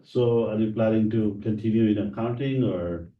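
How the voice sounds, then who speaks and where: A man's voice: lowish voice, normal speed. A man leading the session, giving short, encouraging replies and asking students questions. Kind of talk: casual classroom talk. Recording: distant, roomy and dull.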